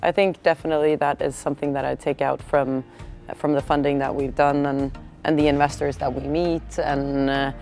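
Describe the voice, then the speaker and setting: A woman's voice: sits low, flat delivery, normal speed, darkish tone, faint music behind. A woman, a founder speaking thoughtfully in English on a panel and often saying "kind of". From Norway. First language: Norwegian. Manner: thoughtful, with many "kind of" fillers. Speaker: startup founder. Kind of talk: panel discussion.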